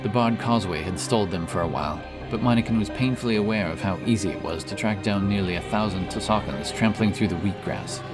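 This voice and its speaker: A man narrating a fantasy story in a measured, solemn, dramatic storytelling manner. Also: lowish voice, over loud music. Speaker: audiobook narrator.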